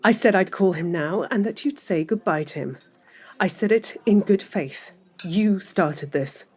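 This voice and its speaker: An actress playing a middle-aged, lovelorn mistress in an audio drama, articulate, insistent and emotional. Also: female voice, medium pitch, measured pace, a little mellow, close to an everyday voice. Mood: bitterly accusing. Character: desperate pleading mistress, lovelorn and long-suffering.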